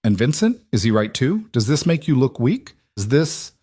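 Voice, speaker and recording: A man's voice: mid-range voice, very animated, normal speed, mellow, husky, dry recording, studio quality, voice pushed up. A man voicing a frightened but resolute young mother in audiobook dialogue, with short, hesitant questions. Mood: uneasy questioning.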